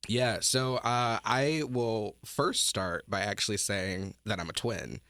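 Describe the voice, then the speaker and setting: A young man as a guest on a radio talk show in a studio, relaxed and full of fillers. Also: lowish voice, takes it slowly, no echo. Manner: relaxed, hedging with many fillers. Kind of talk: casual interview.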